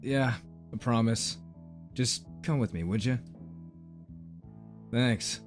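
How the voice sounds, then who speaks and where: Comic-dub guardian, played by a man: mid-pitched, reserved, unhurried, soft guitar music behind. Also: lightly acted. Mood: calm, protective. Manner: quiet, reassuring, reserved. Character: quiet, dangerous, protective male creature.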